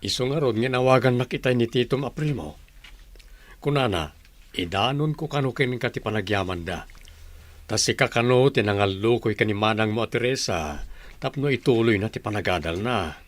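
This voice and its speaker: A kindly middle-aged father played by a man in a village radio drama. His voice is fairly low, and he speaks like a parent giving advice. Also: lively intonation, normal speed, natural voice. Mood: warm, earnest. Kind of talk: Ilocano radio drama acting.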